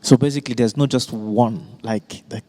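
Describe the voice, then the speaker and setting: Soft-toned man in a reverberant hall. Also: medium pitch, fairly even intonation, normal speed. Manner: hesitant, searching for words, frequent restarts. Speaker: discussion host. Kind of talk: reflective group conversation. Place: exhibition hall in a museum.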